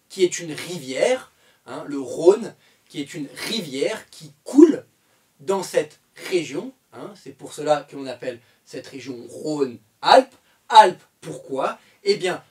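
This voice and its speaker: A man's voice: a little high, darkish tone, distant mic. A man from France teaching a French lesson, patiently repeating phrases and often adding "hein" and "euh". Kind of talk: slow explanatory language lesson.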